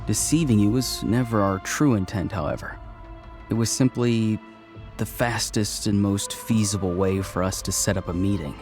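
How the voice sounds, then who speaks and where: A man plays a young mystic schemer. He is calm, courteous and assured, choosing formal words in a measured game-drama delivery over faint music. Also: fairly low pitch, close to an everyday voice. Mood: calm, explaining. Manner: polite, measured, formal, speaks in mystical similes.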